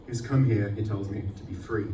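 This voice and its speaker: A man's voice: on the low side, steady tone, fairly quick, warm tone, distant mic. A male poet at a live poetry reading in an echoey venue, picked up some way from the microphone. His manner is self-deprecating and sweary, and there is faint noise in the recording. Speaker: poet performing own work. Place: indoor arts night venue, live event.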